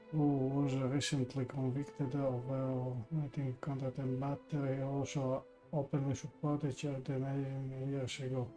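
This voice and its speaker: Male streamer, flat and slow halting read of game text, faint music behind. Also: medium pitch, low-quality recording. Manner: halting, stumbling reading. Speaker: live streamer. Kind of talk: reading game text aloud.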